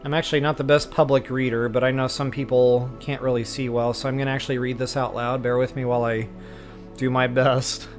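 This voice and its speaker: A man's voice: medium pitch, flat delivery, brisk, soft background music. A man hosting a game stream, chatting casually to viewers in an informal, self-deprecating, rambling way. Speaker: host of a video game walkthrough stream.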